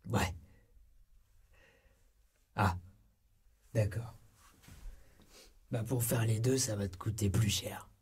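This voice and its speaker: A young man playing a cheeky, laid-back ex-con turned rookie barber in a comedy ASMR roleplay, soft-spoken and breathy, with slangy, hesitant talk. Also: lowish voice, fairly even intonation, slow pace, long pauses, heavily acted. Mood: cheeky, salesy. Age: young adult. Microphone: close binaural microphone.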